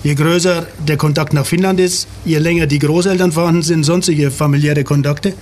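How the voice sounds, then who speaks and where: A man in an interview, explaining in a steady, informative way. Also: a little high, a little fast. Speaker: club member.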